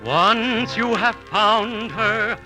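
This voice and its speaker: A man sings a romantic show tune very slowly. His voice is strikingly high, and loud music plays along with him.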